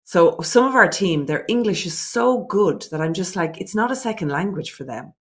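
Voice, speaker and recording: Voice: mid-range voice, expressive, normal speed, fairly bright tone. Earnest guest laying out numbered points. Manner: earnest, reflective, lays out points in order. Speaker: business owner guest. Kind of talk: interview answers.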